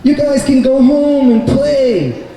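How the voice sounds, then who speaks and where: A man's voice: very high-pitched, takes it slowly, mellow, voice pushed up. A man voicing a clever rabbit leader in a children's puppet show, speaking simply and earnestly. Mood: excited. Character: clever young male rabbit leader, reassuring friends. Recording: very echoey noisy hall, distant mic.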